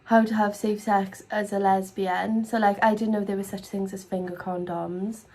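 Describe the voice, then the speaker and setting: Even-toned young woman. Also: medium pitch, normal speed. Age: 20s. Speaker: interviewee. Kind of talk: casual interview talk.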